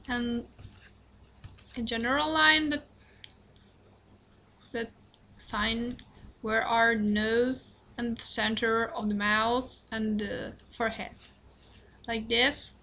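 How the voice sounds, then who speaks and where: A young Italian woman talks through a drawing lesson at her computer in hesitant, accented English. She speaks slowly, with a bright, fairly high voice, stopping often to apologize and search for words. A faint hiss sits behind her. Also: steady tone, gravelly. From Italy. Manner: halting, apologetic, many fillers and restarts. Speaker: drawing tutorial creator. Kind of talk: hesitant screen-recorded drawing tutorial. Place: at a computer, indoors.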